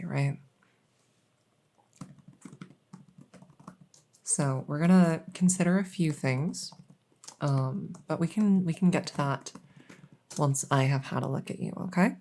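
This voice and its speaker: A woman playing a kindly, rambling vet in an ASMR audio roleplay, explaining things softly and hesitantly with lots of reassurance. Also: very deep voice, a little hiss or hum. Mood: reassuring, explanatory. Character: kindly, rambling female vet for supernatural patients. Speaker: voice roleplay performer.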